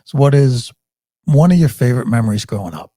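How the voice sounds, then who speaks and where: A man's voice: mid-range voice, expressive, fairly quick, warm tone, dry recording, clean studio sound. A man hosting a podcast, chatting in a friendly way and asking his guest about her life. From Michigan. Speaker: podcast host. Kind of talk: casual interview.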